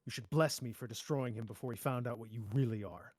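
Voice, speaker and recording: A man's voice: mid-range voice, fast, darkish tone, dry recording, natural voice. Man playing a sardonic masked pirate, dry close sound. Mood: cynical, taunting. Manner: sardonic, drawling taunts with polite menace. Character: mocking masked pirate man, cool and cynical. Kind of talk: dramatic table read of a fairy tale.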